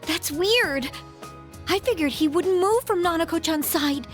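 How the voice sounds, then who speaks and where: An actress playing a tender-hearted teenage girl in an English video game dub, speaking in an emotional, halting way. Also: very high-pitched, expressive, soft background music. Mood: worried.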